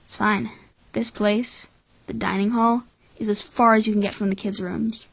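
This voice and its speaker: A woman voicing a cynical, calculating twelve-year-old orphan boy in a measured, blunt anime-style fan dub. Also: mid-range voice, level tone, vocal fry. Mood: resigned, blunt.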